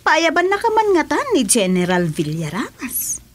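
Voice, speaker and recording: A woman's voice: high-pitched, huge pitch swings, normal speed. A woman with an Ilocano accent playing an inquisitive confidante in a radio serial. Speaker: actress in a radio drama.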